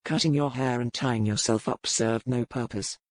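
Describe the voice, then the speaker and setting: A man voicing a stern, cold but courteous pirate captain with a formal, measured delivery in a dramatized audiobook. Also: medium pitch, fairly even intonation, no room sound, close to an everyday voice. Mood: cold, firm.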